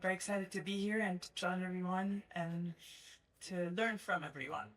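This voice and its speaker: A woman's voice: fairly low pitch, fairly even intonation, moderate pace. A woman investor introducing herself on a panel in a friendly, chatty way.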